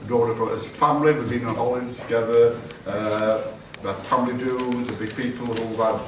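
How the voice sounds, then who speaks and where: A man's voice: medium pitch, steady tone, a little fast. Older father of the bride, echoey and distant. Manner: jokey, rambling, self-correcting. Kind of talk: wedding speech. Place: wedding reception hall. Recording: echoey hall from far off, noisy.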